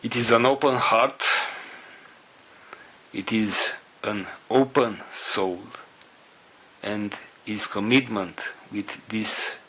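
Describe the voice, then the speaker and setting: A man with a non-native English accent giving a spiritual talk to followers in a solemn, halting manner, in short phrases. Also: lowish voice, fairly even intonation, bright, forceful tone, husky, poor audio. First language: not English. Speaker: spiritual group leader.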